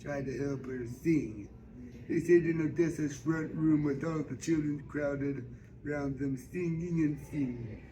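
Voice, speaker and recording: A man reading a first-person novel aloud as an amateur narrator, halting, with stumbles and repeated words. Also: a little high, dark tone, soft background music, poor audio. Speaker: amateur audiobook reader. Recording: faint background noise.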